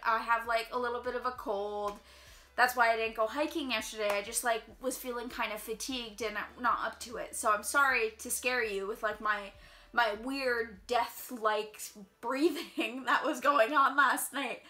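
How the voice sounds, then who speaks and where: A young woman telling a personal story in a casual vlog, chatty and quick with lots of filler words, sounding a little congested. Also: on the high side, steady tone, bright, forceful tone. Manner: chatty, fast-flowing, heavy use of "like". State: slightly congested. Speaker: vlogger. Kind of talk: casual storytime while doing makeup.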